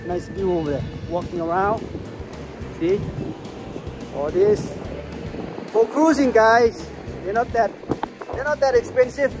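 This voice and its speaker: Thai-accented man, fifties, travel vlogger, broken english, phone at arm's length, windy deck with music behind. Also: high voice. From Thailand. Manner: chatty, broken English, loose grammar. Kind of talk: casual travel vlog. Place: outdoors on a windy cruise ship deck.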